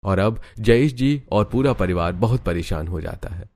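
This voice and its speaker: A man's voice: lowish voice, animated, moderate pace, darkish tone. A man reading scripted story narration with music playing behind him. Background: background music.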